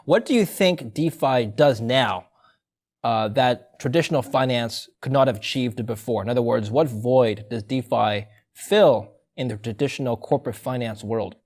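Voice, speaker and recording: Young man, news anchor, brisk, mid pitch. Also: darkish tone. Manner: brisk, scripted-sounding introductions, conversational questions. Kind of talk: news interview.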